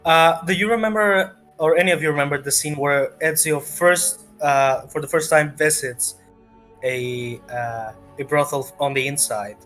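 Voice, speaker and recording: A man's voice: on the high side, moderate pace, a bit bright, faint music behind. A man narrating a tabletop game as game master in a casual, improvised way, often searching for words.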